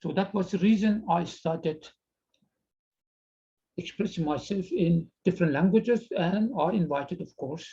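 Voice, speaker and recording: Slow, halting older man with Persian accent. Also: high voice, mellow. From Iran. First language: Farsi. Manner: slow, halting and earnest, with frequent pauses and repeated words. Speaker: TV presenter. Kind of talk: personal address to viewers. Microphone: video call. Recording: slightly dull video call audio.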